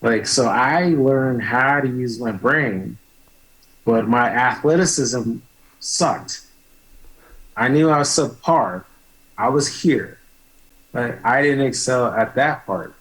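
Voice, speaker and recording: A man speaking as a guest on a talk show, halting and filling pauses with "like" and "you know". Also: medium pitch, a bit bright, rough recording. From United States. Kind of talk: casual interview talk.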